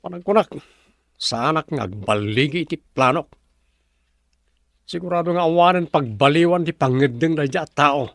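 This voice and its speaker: A man acts out a proud Filipino suitor in a serial drama. His voice sits on the high side for a man, pushy in manner, and the sound is close and dry. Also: moderate pace, long pauses, a bit bright, dry recording. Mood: bitter, frustrated. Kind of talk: dialogue in an Ilocano serial drama.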